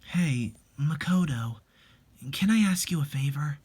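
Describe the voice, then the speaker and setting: A man's voice: a little high, animated, a little slow, a little mellow, breathy edge. A man voicing a timid, pleading young wife in a dramatic reading, earnest and imploring. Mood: timid, hopeful.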